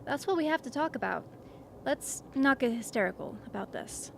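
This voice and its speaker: A woman's voice: high-pitched, expressive, moderate pace, creaky, a bit bright, exaggerated voice. A woman playing a composed, authoritative commander of an Antarctic survey in a radio drama. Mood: firm. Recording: faint background noise.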